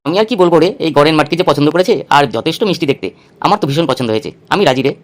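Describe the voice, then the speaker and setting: A man's voice: on the high side, expressive, racing, natural voice. A man voicing a gullible, earnest bald buffoon in a comic cartoon. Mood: pleased.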